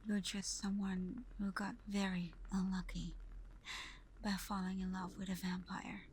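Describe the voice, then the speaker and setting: A woman playing a tender, devoted vampire girlfriend in an ASMR roleplay, speaking intimately in a soft, breathy whisper with gentle pauses. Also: mid-range voice, slight background noise, natural voice, poor audio. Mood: devoted, earnest.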